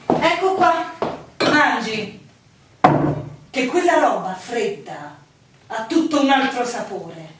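Bossy, animated innkeeping woman. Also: on the high side. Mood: bossy, brisk. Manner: brisk, ordering, scolding. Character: bossy woman running a village inn, brusque and fussing. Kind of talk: stage-style Italian radio drama. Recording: echoey room, distant mic, faint noise, clicks.

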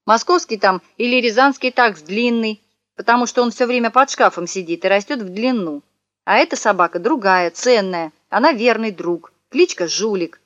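A woman's voice: fairly high pitch, normal speed, fairly bright tone, no echo, muffled recording, lightly acted. Woman playing a wailing young swindler. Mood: wheedling. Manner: wailing, wheedling, melodramatic. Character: sly young male swindler, whining and theatrical.

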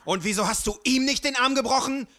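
An actor playing a sarcastic, bad-tempered gang bully in an amateur pulp horror audio drama. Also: male voice, very high-pitched, fairly quick, pushed and bright. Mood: furious. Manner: sarcastic, contemptuous.

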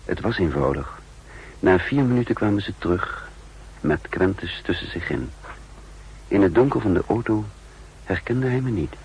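An actor playing a cool, commanding spymaster and double agent in a 1960s Dutch radio drama, speaking in an assured, deliberate way. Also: male voice, low voice, animated, a little mellow, a little hiss or hum, low-quality recording. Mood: narrating calmly.

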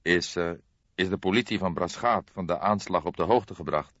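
A man voicing a grey-haired veteran police detective, kindly but insistent, questioning deliberately in an audiobook. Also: low voice, fairly bright tone, no echo, dull audio. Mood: probing. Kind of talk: audiobook character voice.

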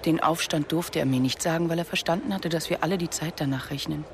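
Actor as a brooding man, creaky and noisy. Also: fairly high pitch, fast talker, lightly acted. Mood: reflective.

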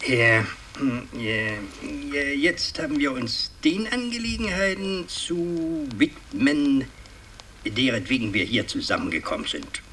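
An actor playing a pompous, fussy older aristocratic patriarch in a radio drama, speaking in a halting, ceremonious, long-winded way. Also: male voice, medium pitch, huge pitch swings, bright, forceful tone, heavily acted. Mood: solemn, fussy. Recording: distant, noisy 1960s radio recording.

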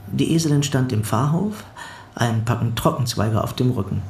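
Casting: gravelly male parish helper, meticulous matter-of-fact witness in a radio play, roomy recording. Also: mid-range voice, expressive, normal speed, a little mellow, a little hiss or hum. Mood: earnest recounting. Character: dutiful male parish helper recounting to an investigator. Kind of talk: German radio drama acting. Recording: roomy sound with faint background ambience.

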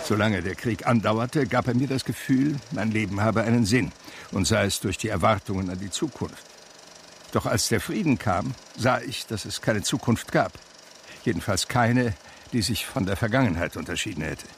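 An actor playing a reflective man who tells his life story in measured literary radio drama narration. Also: fairly low pitch, slight background noise, natural voice. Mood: melancholy. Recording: music under the voice. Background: music.

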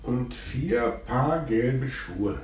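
Measured police inspector, roomy noisy recording. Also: male voice, mid-range voice, animated. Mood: musing, firm. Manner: measured, terse questioning with musing asides. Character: calm, probing, dryly ironic police detective inspector. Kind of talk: 1950s German radio play acting.

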